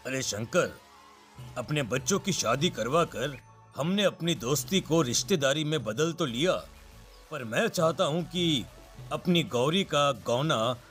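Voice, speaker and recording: A middle-aged village father in a cartoon story, voiced by a man. He has a somewhat high male voice, and faint music plays underneath. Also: a bit bright. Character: middle-aged village father, a family friend arranging marriage.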